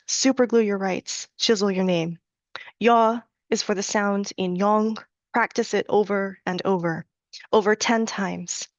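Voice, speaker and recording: A woman reading her own poetry aloud at a live reading, in a measured, deliberate recitation with rhythmic repetition and soft, low-key asides between poems. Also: no room sound. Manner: slows and chants the Latin and prayer lines. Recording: dull, dry lo-fi recording.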